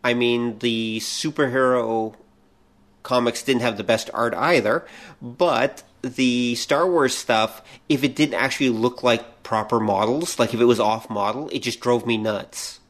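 A man's voice: medium pitch, fairly even intonation, measured pace, a bit bright. Middle-aged man in casual podcast conversation. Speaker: podcast co-host. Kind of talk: casual conversational fan chat.

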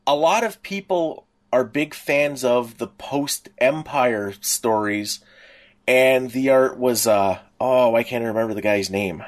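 Middle-aged man, medium pitch, casual fan talk, podcast co-host, rambling, stop-and-start phrasing. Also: expressive, slow pace. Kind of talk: casual nostalgic fan chat.

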